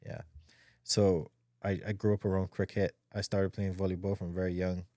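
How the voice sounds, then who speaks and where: Man, deep low voice, halting casual speech, dry close mic. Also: level tone, dry recording. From Barbados. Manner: soft-spoken, hesitant with repeated words and ums. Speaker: guest, para-bobsleigh athlete. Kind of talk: casual interview talk.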